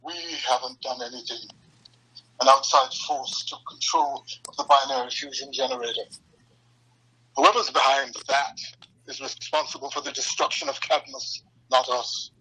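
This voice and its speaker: An actor playing a grave, measured alien male superhero in an animated script reading. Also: medium pitch, breathy edge, low-quality recording. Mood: somber.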